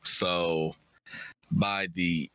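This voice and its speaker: A man's voice: deep voice, little pitch movement, extremely slow, fairly bright tone, clear, resonant tone, dry recording, low-quality recording. A man talking casually on a livestream as a co-presenter, informal and easygoing in how he speaks. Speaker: writer and streamer, co-presenter.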